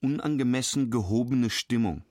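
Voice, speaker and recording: A man's voice: medium pitch, normal speed, darkish tone, no echo, clean studio sound, lightly acted. An actor playing a detached, clinical man who reads out a checklist in clipped phrases in a radio play.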